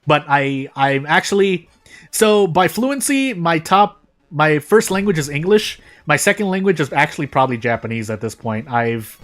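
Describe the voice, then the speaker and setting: An American man streaming a game, thinking aloud in casual commentary with frequent restarts and sudden jumps in pitch. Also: fairly bright tone. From United States. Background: faint game music.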